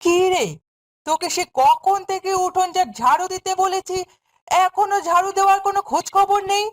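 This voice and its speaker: A woman's voice: extremely high pitch, steady tone, normal speed. A woman playing a cruel, domineering stepmother in a fairy-tale cartoon, speaking in a harsh, scolding, sneering way. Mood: angry nagging.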